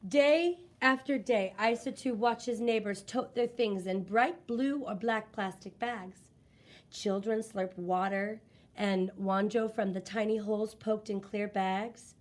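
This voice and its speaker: Female storybook reader, slightly high voice, expressive narration, deliberate dramatic phrasing. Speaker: children's book reader.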